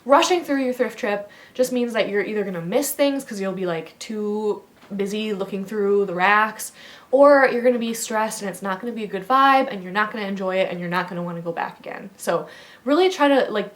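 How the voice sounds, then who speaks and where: A woman in her thirties from the Midwest, a fashion vlogger giving rambly, casual advice with lots of fillers, stuffy from a head cold. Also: mid-range voice. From American Midwest. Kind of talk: casual chatty vlog.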